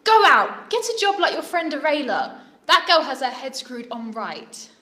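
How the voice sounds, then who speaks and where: A woman's voice: high-pitched, big pitch swings, normal speed, bright, forceful tone, heavily acted. A woman playing an exasperated, worried mother who nags and scolds in an audio drama. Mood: exasperated, scolding. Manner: exasperated, nagging, dismissive of fairy tales. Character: worried, scolding, practical mother of a dreamy son. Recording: echoey room.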